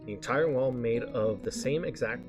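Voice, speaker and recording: Guy reading a story out loud, trips on words n fixes them, guitar music behind him. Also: mid-range voice. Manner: halting reading, stumbles over words and corrects himself. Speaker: streamer reading a visual novel aloud. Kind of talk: live read-aloud of narration. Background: game background music with guitar.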